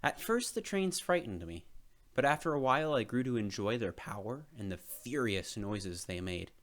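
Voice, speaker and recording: A man reading a children's picture book aloud as an expressive storyteller, with measured phrasing and emphasized words. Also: medium pitch.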